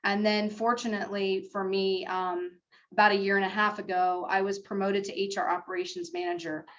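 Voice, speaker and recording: A middle-aged woman speaks on a career panel over a video call, earnest and a little halting, leaning on ums as she goes. The audio is dull and lo-fi, with a medium-pitched voice. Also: flat delivery, a bit bright. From South Florida. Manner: earnest, hesitant with many fillers. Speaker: school district HR manager, panelist.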